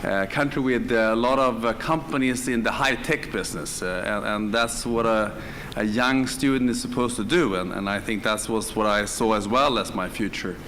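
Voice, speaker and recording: A man from northern Sweden with a Swedish accent, telling his story on a conference panel in a hesitant, pausing way. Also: on the low side, fairly bright tone, long reverb tail. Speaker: conference panelist, direct selling executive. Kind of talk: unscripted personal storytelling on a panel. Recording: live conference room, clear background noise.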